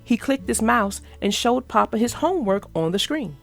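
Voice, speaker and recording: A woman reading a children's storybook aloud as narrator, in an expressive storytelling manner. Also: on the high side, normal speed, vocal fry, soft background music. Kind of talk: scripted storybook read-aloud.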